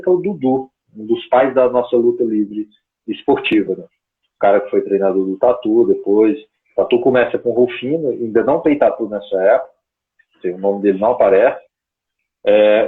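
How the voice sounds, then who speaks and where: Man chatting over a tinny call line. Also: mid-range voice. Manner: rambling, conversational, frequent tag questions. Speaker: guest researcher. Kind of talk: casual storytelling chat. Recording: tinny phone-like call audio.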